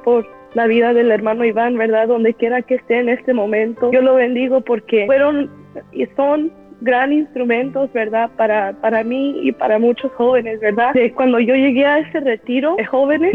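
Woman, heartfelt church testimony, halting with many restarts, phone-thin sound, soft music underneath. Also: a little high, fairly even intonation. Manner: earnest, halting, keeps adding "¿verdad?". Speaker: church member giving a testimony. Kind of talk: personal faith testimony. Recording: tinny phone-like line with faint music.

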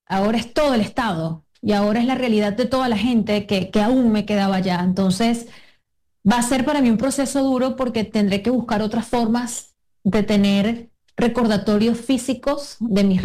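Earnest Venezuelan woman, mellow voice. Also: medium pitch. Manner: earnest, with frequent restarts and hesitations. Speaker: interviewee. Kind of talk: emotional interview answers.

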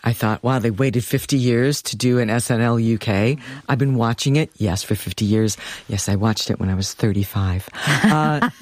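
A woman's voice: very deep voice, normal speed, hardly any pauses, darkish tone, no room sound. Wry older woman. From United States. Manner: wry, laughs while talking. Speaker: cultural critic, guest. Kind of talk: opinionated interview talk.

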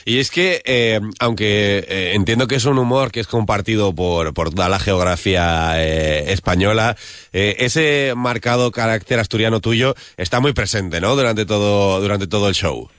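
A male interviewer with a fairly low voice, recorded dry with little room sound. He puts long, considered questions to his guest, pausing on hesitant fillers as he builds each point. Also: fairly bright tone, no echo. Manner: measured, thoughtful, many hesitant "eh" fillers.

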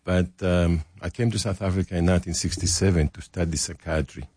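A man's voice: sits low, fairly even intonation, a little fast, a little mellow, slightly rough, no room sound. An older man in his seventies with a Greek accent, telling his story in a joking, self-deprecating way as a guest in a studio interview. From Greece. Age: 70s. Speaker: restaurateur guest. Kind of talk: casual interview chat. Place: radio studio.

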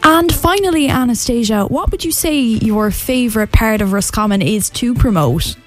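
A woman's voice: on the high side, animated, normal speed, soft background music. A woman radio reporter asking interview questions.

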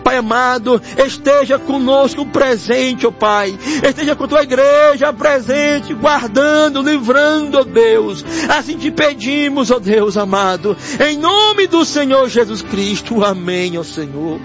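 A Brazilian man prays fervently in an extremely high voice. He pleads like an evangelical elder, returning to the same invocations. Music plays clearly behind him, and the sound is dull and lo-fi. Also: expressive, a little slow, hardly any pauses. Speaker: church elder leading prayer. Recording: dull lo-fi broadcast audio. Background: clear background music.

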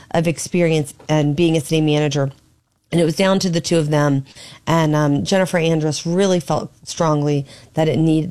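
A hoarse woman with a low, husky voice hosts a talk radio show from her home studio. She chats through the news in an opinionated, thinking-aloud way. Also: darkish tone. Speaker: fill-in radio talk host. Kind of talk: casual talk radio commentary.

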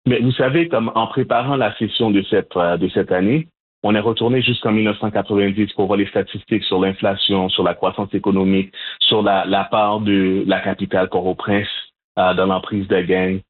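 A man from Montreal, answering interview questions in a measured, hesitant way with frequent restarts. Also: lowish voice, fairly even intonation. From Montreal, Canada. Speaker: guest, Haitian community organizer. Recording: phone-line audio, husky.

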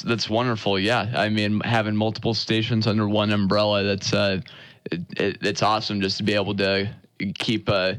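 A man's voice: fairly low pitch, fairly bright tone. A young man, a new sports broadcaster, talking earnestly as a radio interview guest, with lots of fillers and restarts.

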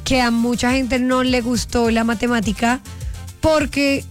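A woman co-hosting a casual chat show, playful and bawdy, telling lively stories. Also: fairly high pitch, unhurried, soft background music. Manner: playful, bawdy, animated storyteller. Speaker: co-host.

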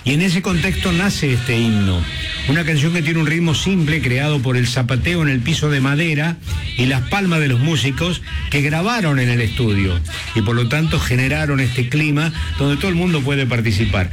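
A man with a Rioplatense accent hosting a radio talk show, lively and teasing in conversation. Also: a little high. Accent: Rioplatense Spanish. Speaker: radio host. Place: radio studio. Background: music bed.

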